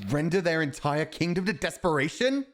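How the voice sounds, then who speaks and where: A man's voice: on the high side, animated, moderate pace, a bit bright. A man acting a principled, earnest army commander advising a monarch in a fantasy audio roleplay, speaking in a formal, emphatic, righteous way. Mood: skeptical.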